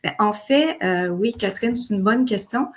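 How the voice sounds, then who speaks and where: Québécoise guest, mid-pitched, halting with euhs. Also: female voice, expressive. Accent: Québécois French. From Québec. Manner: warm-hearted, hesitant, many fillers. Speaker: guest, game co-creator. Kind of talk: casual live conversation.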